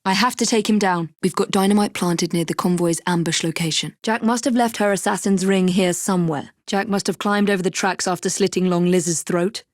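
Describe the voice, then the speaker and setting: An actress playing a poised, determined young Victorian woman assassin in a video game, with an English accent and crisp, formal diction. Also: fairly low pitch, fairly even intonation, a little fast, hardly any pauses, no echo, clean studio sound, lightly acted. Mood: composed, purposeful. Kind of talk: scripted video game voice lines.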